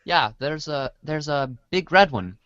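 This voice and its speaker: A man's voice: on the high side, expressive, unhurried, fairly bright tone, no echo, muffled recording. A man playing an earnest, easily defensive, uncool teenage boy in a casual comic reader's theater. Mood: eager.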